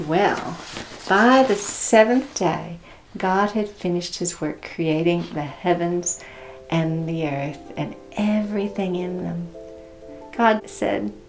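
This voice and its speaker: A woman's voice: fairly low pitch, vocal fry. A woman teaching in a children's storytime style, with simple instructive phrasing and checking questions. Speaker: Sunday school teacher and storyteller. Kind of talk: children's read-aloud and teaching demonstration.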